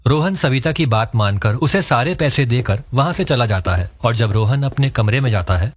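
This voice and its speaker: A man's voice: mid-range voice, lively intonation, moderate pace, highs cut off, faint music behind. A man reading scripted narration for a cartoon family story.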